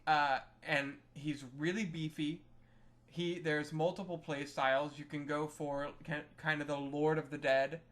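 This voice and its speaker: A man's voice: fairly high pitch, little pitch movement, a little slow, fairly bright tone. A man co-hosting a gaming podcast, casually trading opinions on game strategy. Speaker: podcast co-host.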